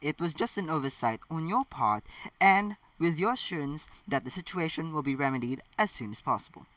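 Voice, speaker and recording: A man's voice: on the high side, animated, brisk, fairly bright tone, no echo. A man reading a stern, exacting teenage schoolgirl with a formal, officious manner, as in a storybook. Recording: distant mic, dull lo-fi sound.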